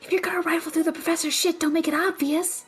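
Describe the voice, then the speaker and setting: A woman's voice: very high voice, little pitch movement, fast, soft background music. A young woman playing a stressed, sarcastic student who is a loyal friend, in a naturalistic audio drama. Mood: wry, bossy. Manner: sarcastic, exasperated, swearing.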